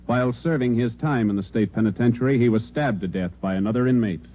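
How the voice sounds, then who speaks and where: A man announcing in a formal 1950s radio style, authoritative and measured. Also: medium pitch, slight background noise. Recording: dull old radio recording with music.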